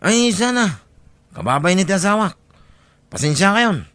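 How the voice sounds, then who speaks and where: A brusque Ilocano family man , acted by a man, who wants his relatives gone. His voice is high for a man and very close and dry, in audio drama style. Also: very animated, moderate pace, fairly bright tone, dry recording. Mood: reluctant.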